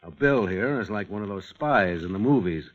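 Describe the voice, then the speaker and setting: A wry, cynical wise guy in 1940s radio style. He is breezy and slangy, with a very lively pitch and a low-to-middle man's register. The recording is dull and old. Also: normal speed. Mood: cynical, emphatic. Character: wry, slangy, cynical male spy-game operator.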